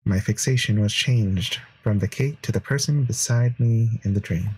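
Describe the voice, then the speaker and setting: A man's voice: on the low side, moderate pace, a little mellow, husky, no room sound, poor audio. A man voicing an earnest, introspective wandering hero in a visual novel, musing over dreams. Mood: pensive. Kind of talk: visual novel narration and dialogue.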